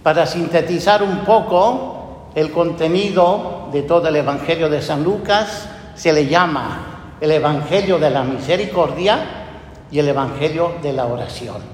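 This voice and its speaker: Slow-preaching priest in an echoey church. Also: male voice. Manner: slow, earnest preaching with rhetorical questions. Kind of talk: homily after a Gospel reading. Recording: echoey church hall with low rumble.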